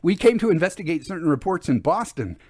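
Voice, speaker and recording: Man voicing an eager, higher-pitched awkward woman. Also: big pitch swings, fast talker, a little mellow, no echo, clean studio sound. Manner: flustered, hesitant.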